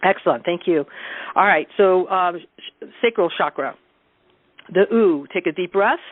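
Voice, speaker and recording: A woman's voice: on the low side, animated, unhurried, no echo. A woman casually leading a group through a guided sound exercise on a teleconference, instructing informally and often checking in with her listeners. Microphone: telephone. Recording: muffled, narrow teleconference phone line.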